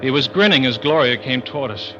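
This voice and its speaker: An actor playing a tough, terse pilot who narrates a crime thriller in old-time radio suspense style. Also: male voice, medium pitch, animated, fairly quick, noisy background, natural voice. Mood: grim. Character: hard-boiled male pilot narrating his own crime thriller. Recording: dull vintage radio recording under loud music.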